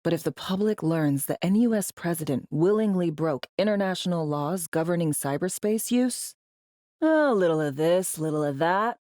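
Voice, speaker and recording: A woman's voice: lowish voice, expressive, normal speed, warm tone, no room sound, studio quality, close to an everyday voice. A woman playing a brash, foul-mouthed, world-weary female spy in a video game, talking in clipped, slangy, sardonic lines. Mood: brisk, businesslike. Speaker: undercover field agent in a sci-fi game. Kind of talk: video game character dialogue.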